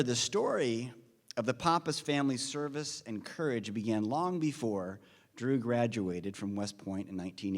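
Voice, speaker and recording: A man at a podium delivers a formal, earnest introduction in a steady, mid-pitched voice. His words ring out with the long reverb of a big conference hall. The delivery is measured and prepared. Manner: earnest, measured delivery, reads off names and figures. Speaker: speaker introducing the guest at a conference forum.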